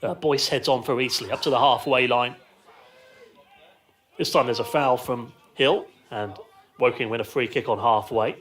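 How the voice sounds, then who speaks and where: A man's voice: mid-range voice, normal speed, a bit bright. A man giving live radio football commentary from a stand, describing play in short, clipped phrases. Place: outdoors in a football ground stand.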